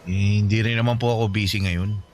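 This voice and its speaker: A man's voice: on the low side, steady tone, brisk, darkish tone, a little hiss or hum, lightly acted. A man playing a polite young son in love. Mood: respectful, smitten. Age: young adult. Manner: polite and respectful, uses honorifics. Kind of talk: radio drama dialogue.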